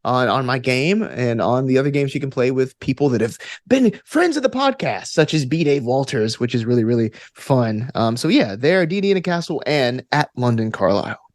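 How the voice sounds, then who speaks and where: A man with a mid-pitched voice talks as a guest in a reflective interview. He is earnest and encouraging, circling back to repeat phrases for emphasis. The sound is close and dry. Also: animated, brisk, hardly any pauses, dry recording. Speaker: podcast guest, actor and game master. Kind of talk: casual, reflective interview conversation.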